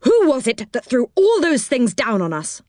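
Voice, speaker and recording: A bad-tempered storybook man acted out by a woman narrator. The voice is pushed up and animated, shouting and scolding in loud indignation. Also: sits high, normal speed, no echo, studio quality, pitched above the performer's own voice. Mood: angry, scolding.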